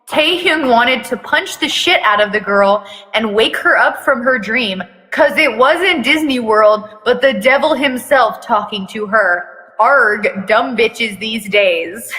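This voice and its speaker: A woman with a bright, fairly high voice reads fiction in a theatrical way and slips in sarcastic commentary. Her delivery is unhurried, and a long hall-like reverb hangs on the voice. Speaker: reader of fan fiction with commentary. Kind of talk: dramatic storytelling with joking asides.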